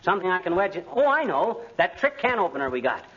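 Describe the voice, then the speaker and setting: A man's voice: high-pitched, very animated, fast talker, fairly bright tone, breathy edge, full character voice. A man playing a boastful, bumbling American husband with folksy, self-assured bluster in 1940s radio comedy. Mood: busy, searching. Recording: noisy, muffled vintage radio recording.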